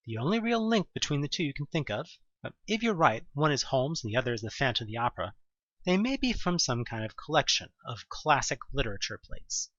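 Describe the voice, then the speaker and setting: A man reading scripted second-person horror narration in a dramatic, expressive storytelling manner with wry touches. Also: mid-range voice, brisk, no echo, dull audio. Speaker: audio play narrator.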